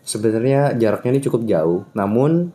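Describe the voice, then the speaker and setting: A man's voice: medium pitch, lively intonation, moderate pace, mellow, slight background noise. A man with a Jakarta Indonesian accent chatting casually as he reviews motorcycle gear. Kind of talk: casual product review chat.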